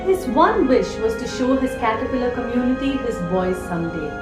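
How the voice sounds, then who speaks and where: A woman storyteller with a high voice narrates a scripted children's tale. Loud music runs behind her, and the room adds a clear echo. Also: big pitch swings, a little fast. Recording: echoey room with prominent music. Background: prominent music.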